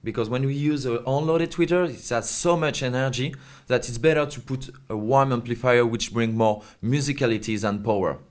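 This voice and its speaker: A man's voice: medium pitch, normal speed, hardly any pauses. Man explaining a product. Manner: hesitant non-native English phrasing. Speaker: company representative being interviewed.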